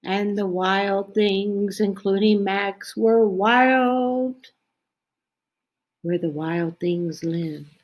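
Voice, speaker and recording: Very slow, expressive woman reading a storybook. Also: mid-range voice. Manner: expressive, with drawn-out phrases and hushed dips. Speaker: storybook reader. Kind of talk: dramatic children's picture book read-aloud.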